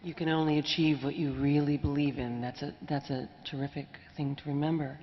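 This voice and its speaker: Female forum moderator with a very low register, recorded in a reverberant hall with faint noise. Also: fairly even intonation, a little fast, muffled recording. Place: large hall at a public forum.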